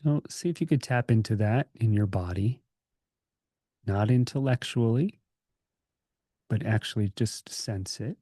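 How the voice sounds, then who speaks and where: A man leading a guided meditation as a teacher, speaking in a gentle, calming, informal way with pauses. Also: lowish voice, no echo, studio quality. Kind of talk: guided meditation, conversational and unscripted.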